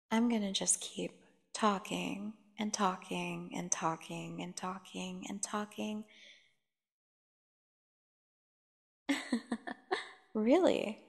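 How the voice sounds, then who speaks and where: A woman playing a seductive, dangerous captive vampire in an ASMR fantasy horror roleplay, teasing and theatrical, with a creaky voice, speaking straight to the listener. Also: mid-range voice. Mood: coaxing, teasing. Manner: teasing, slow, theatrical, addressing the listener directly. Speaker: audio roleplay performer. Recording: long echoing reverb.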